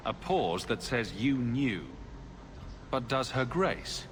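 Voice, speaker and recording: A man's voice: medium pitch, animated, slow, in a large hall, a little hiss or hum. A man voicing a wry, defiant hero in a fantasy video game, speaking directly.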